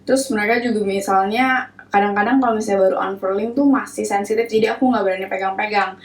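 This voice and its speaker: Young woman with a cold, nasal and chatty, mid-pitched, for a casual how-to vlog. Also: fairly even intonation, fast. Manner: chatty, mixes English phrases, many fillers. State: stuffy nose from a cold. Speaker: plant care vlogger.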